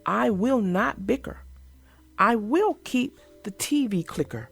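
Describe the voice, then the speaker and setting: A firm, sensible storybook mother bear who speaks softly and slowly, each word placed with care, as a woman reads her. The voice sits a little higher than the narrator's own, and it is gentle but unbending. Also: animated, gravelly, slightly rough, faint music behind, full character voice.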